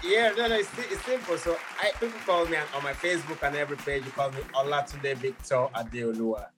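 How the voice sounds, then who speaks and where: A man's voice: a little high, quick pace, a loud crowd. A man chatting casually as a show guest, explaining things a little hesitantly. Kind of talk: casual chat.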